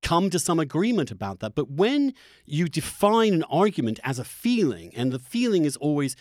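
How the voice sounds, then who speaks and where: A middle-aged man in an opinionated political discussion, halting and repeating words as he builds his arguments. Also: a little high, big pitch swings, a little mellow, dry recording, clean studio sound.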